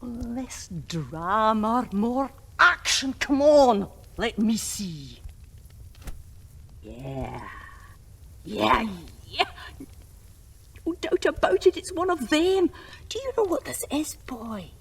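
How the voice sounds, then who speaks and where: An actress playing a cantankerous, ancient granny master forger in a video game, scolding and theatrical. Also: female voice, fairly high pitch, sing-song, husky, heavily acted. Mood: gruff wonder. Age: older. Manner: scolding, dramatic, slow emphatic delivery. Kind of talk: video game cutscene acting.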